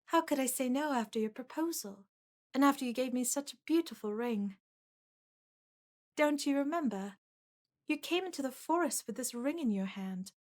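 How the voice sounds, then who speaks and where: A woman voicing a lovestruck, clingy undead corpse bride in an ASMR audio roleplay, soft, earnest and pleading. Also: sits high, animated, normal speed. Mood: relieved, tender.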